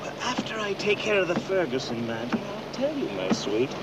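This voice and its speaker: An actor playing a conceited schemer in a horror-comedy film, with an arch, self-assured way of talking. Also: male voice, high-pitched, very animated, moderate pace. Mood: smug. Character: smooth, conceited schemer reassuring his lover. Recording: very noisy distant outdoor film sound.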